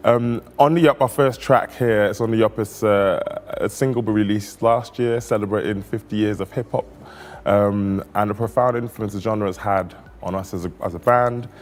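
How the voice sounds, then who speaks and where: A man's voice: lowish voice, steady tone, normal speed. A man from a band introducing their first song at a festival, speaking hesitantly with fillers and restarts. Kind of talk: stage introduction.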